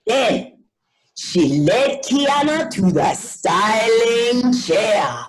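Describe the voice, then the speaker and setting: A woman reading a children's story aloud as an expressive scripted narration. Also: on the high side, very slow.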